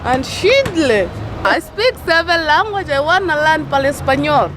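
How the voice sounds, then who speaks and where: A woman's voice: high voice, sing-song, a little slow, fairly bright tone, faint music behind. A young refugee woman proudly speaking about herself.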